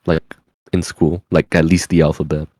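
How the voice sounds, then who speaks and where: A man's voice: extremely low pitch, little pitch movement, moderate pace, a little mellow, dry recording, clean studio sound. A man co-hosting a podcast, chatting casually and joking about learning languages. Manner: playful, jokey, easygoing. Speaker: podcast co-host. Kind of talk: casual chat.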